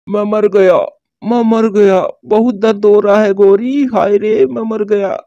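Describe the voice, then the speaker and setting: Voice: mid-range voice, fairly even intonation, measured pace, mellow, no room sound, lightly acted. A voice actor playing a scheming male crow in a Hindi cartoon, whiny when hurt and menacing on the phone. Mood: groaning. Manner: whiny, then threatening on the phone. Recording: dull lo-fi voice track.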